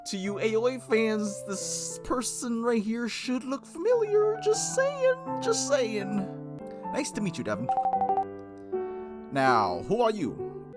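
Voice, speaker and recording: A gaming video host with an informal, playful way of talking, commenting casually and reading game text aloud. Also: mid-range voice. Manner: informal, giggly, frequent "like", playful asides. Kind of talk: casual gameplay commentary with read-aloud visual novel text.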